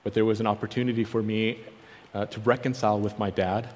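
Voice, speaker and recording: A man's voice: lowish voice, little pitch movement, quick pace, darkish tone. Earnest man preaching in a reverberant hall. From born in South Korea. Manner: earnest storytelling, acts out remembered dialogue. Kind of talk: personal testimony in a sermon.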